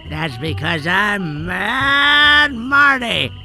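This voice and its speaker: A man's voice: high voice, drawn-out words, pushed and bright, soft background music, heavily acted. An actor playing an eccentric, hard-of-hearing old laundryman in a pirate video game, eager and muddled. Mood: eager, cranky. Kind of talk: cartoonish video game voice acting.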